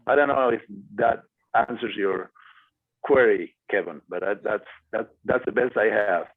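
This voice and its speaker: A man speaking as a guest to a class and answering student questions, with many pauses and fillers. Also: on the low side, creaky, dry recording. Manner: hesitant, many fillers and restarts. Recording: dry tinny phone-like audio.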